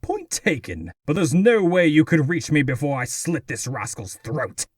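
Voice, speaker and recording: A man's voice: fairly high pitch, big pitch swings, normal speed, breathy edge, dry recording, clean studio sound. A man playing a haughty, murderous aristocratic villain in a comic dub, pompous and theatrical. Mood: defiant. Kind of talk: dramatic comic-dub voice acting.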